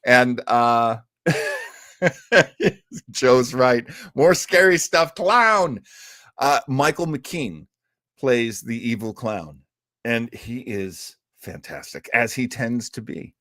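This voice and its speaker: A man with a mid-pitched voice hosts a live stream in a loose, chatty style. He wanders into asides in a conversational way and is prone to chuckling. The sound is dry, with very little room in it. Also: expressive, very slow, long pauses, bright, forceful tone, no echo. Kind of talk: casual chat with live viewers.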